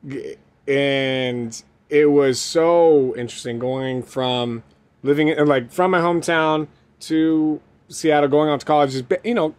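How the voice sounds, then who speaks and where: A man's voice: a little high, unhurried, smooth. A man with an American accent hosting a casual interview in a chatty, supportive, effusive manner. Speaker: interview host. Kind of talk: casual chat.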